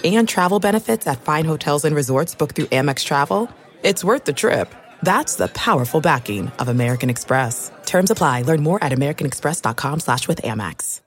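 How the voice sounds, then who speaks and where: Woman, ad voice-over, very low voice, smooth polished read, music underneath. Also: sing-song, a little fast. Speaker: ad announcer. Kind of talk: scripted ad voice-over.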